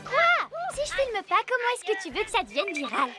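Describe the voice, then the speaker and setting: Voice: very high voice, very animated, fairly quick. A performer voicing a cheeky, sarcastic young girl woodpecker scout in a French cartoon dub, with a teasing manner. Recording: loud cartoon music behind.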